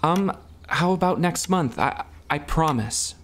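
A man acting in a musical audio drama as a driven stage magician and devoted son, speaking in a halting, placating way. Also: on the high side, expressive, slight background noise. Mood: placating, hurried.

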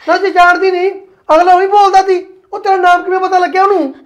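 A man's voice: very high voice, fairly even intonation, a little fast. A man playing a suspicious young husband who accuses his wife. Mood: accusing. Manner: accusing, insistent.

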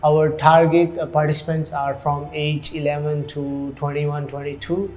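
A man in his thirties from Hong Kong speaks at a somewhat high pitch, but his delivery stays flat and his tone is mellow and dark. He is earnest and often halts on "uh" as he explains his work, in an indoor interview with soft music underneath. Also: poor audio. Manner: earnest, halting with frequent uh fillers. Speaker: youth program coordinator. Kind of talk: documentary interview. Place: indoor community centre room.

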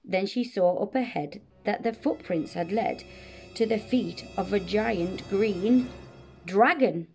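A woman narrates a rhyming children's story. She takes it slowly and expressively, measuring out each phrase. Her tone is mellow, with a noticeable creak in it. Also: mid-range voice, soft background music. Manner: measured, expressive bedtime reading. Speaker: storybook reader.

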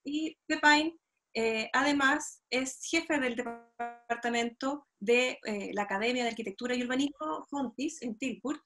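A woman with a slightly high voice moderates an online panel over a video call. She introduces guests in a halting way, pausing to find her words. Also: fairly bright tone, faint music behind. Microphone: video call microphone.

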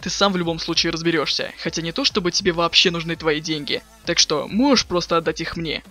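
A sardonic, slangy teenage boy voiced by a man from a webcomic dub. He rattles lines off quickly in a highish, sharp voice. He is impatient and too cool to care. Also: steady tone. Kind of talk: dramatic reading of a webcomic chat.

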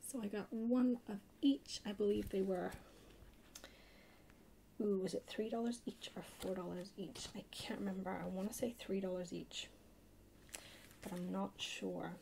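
A woman chatting softly in an ASMR haul video, hushed and often slipping into a whisper. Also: medium pitch, steady tone, measured pace, gravelly, long pauses. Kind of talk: soft-spoken ASMR haul chat. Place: indoors at a table. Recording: noisy close home recording.

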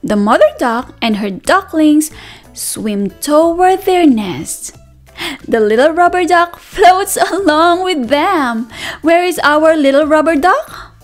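A woman's voice: sits high, expressive, slow. A woman reads a picture book aloud to toddlers as a teacher, in a sing-song, exaggerated manner, repeating words and asking questions. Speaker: children's read-aloud teacher. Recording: voice over background music. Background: music.

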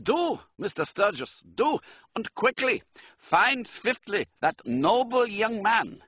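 A storybook German count, stiff and florid in manner. The voice is bright and forceful, swinging widely in pitch, with a slightly rough edge. The recording is a little muffled. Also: male voice, very animated, slow, dry recording, heavily acted. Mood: urgent, admiring. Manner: pompous, florid, ceremonious. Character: stiff, formal, effusive German count, royal equerry.

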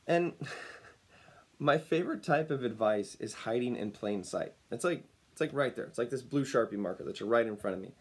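A man in his thirties, slightly raspy as he recovers from losing his voice, talking upbeat and smiling to a webcam at home. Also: medium pitch, expressive, moderate pace. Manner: upbeat, smiling, chuckles often. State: recovering from lost voice. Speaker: personal branding speaker. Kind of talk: casual talk to camera. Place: home room, indoors. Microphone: webcam at desk distance.

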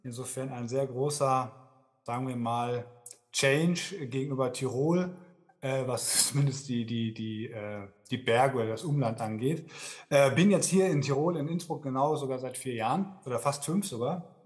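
A man's voice: mid-range voice, normal speed. Friendly man, rambling answers. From Germany (Dortmund, Ruhr area). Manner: friendly, rambling with many fillers. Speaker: sales manager, guest. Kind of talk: conversational interview answer.

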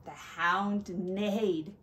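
A woman teacher reading a picture story aloud to children, expressive and dramatic, with chatty asides. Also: medium pitch, extremely slow.

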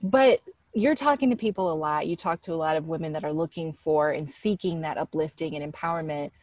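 A woman interviews a guest in a relaxed, chatty style. Her voice is a little low for a woman, and the sound is dry, with hardly any room echo. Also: brisk. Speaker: interviewer, organization founder. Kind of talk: casual conversational interviewing.

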